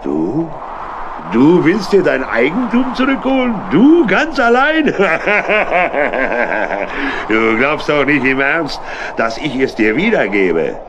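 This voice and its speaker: Bullying tramp: mocking, slow, lively swoops, noisy old record. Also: male voice, on the high side, muffled recording, heavily acted. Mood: gloating threat. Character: sly, bullying male tramp and thief.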